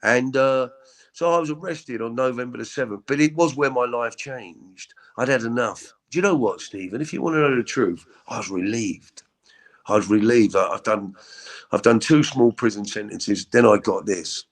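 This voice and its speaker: A middle-aged man with a deep voice, telling his story as an interview guest in a halting, candid way with many repeats. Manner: halting, repeats words, frequent ums.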